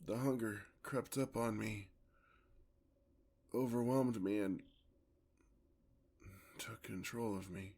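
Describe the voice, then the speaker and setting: Man acting as a slow, gravelly courtly vampire. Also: on the low side, steady tone, a little mellow. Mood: remorseful, weary. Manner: theatrical, formal, drawn-out endearments. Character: courtly, hungry male vampire, tender yet predatory lover. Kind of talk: ASMR fantasy roleplay acting.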